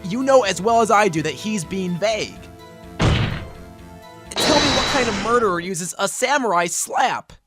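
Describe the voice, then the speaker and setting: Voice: medium pitch, lively intonation, a little slow, natural voice. An actor playing an earnest, dogged young male defense lawyer in a fan-dubbed courtroom drama, pressing hard yet sometimes unsure. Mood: forceful. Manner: pressing, declamatory, hesitant asides. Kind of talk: fan dub courtroom game acting. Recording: amateur dub with clear music behind.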